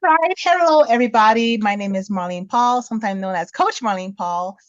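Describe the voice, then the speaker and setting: A woman's voice: a little high, a little fast, no room sound. An upbeat woman business coach introduces herself, laughing easily as she talks. Her audio is dull and lo-fi with a dry, roomless sound. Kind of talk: panel introduction.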